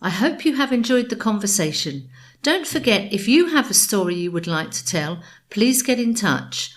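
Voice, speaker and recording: A woman with a mid-pitched voice hosts an interview podcast in a measured, orderly style. Her sound is noticeably roomy and echoey. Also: big pitch swings. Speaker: podcast host.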